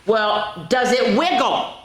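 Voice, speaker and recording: A woman's voice: a little high, lively intonation, slow, heavy reverb, pitched above the performer's own voice. A woman voicing an eager, curious young female donkey from a picture book, with exaggerated questioning delivery for children. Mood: excited.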